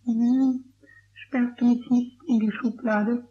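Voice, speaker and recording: A man voicing a childlike, mischievous, whiny little kobold in a radio play, squeaky and stammering. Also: level tone, takes it slowly, mellow. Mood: sulky pleading. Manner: stammering, pleading, cheeky. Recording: dull, noisy old radio play recording.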